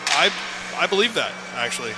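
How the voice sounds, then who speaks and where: A man's voice: a little high, sing-song, fairly quick, heavy background noise. A man giving live analysis as a hockey colour commentator. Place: indoor ice hockey arena.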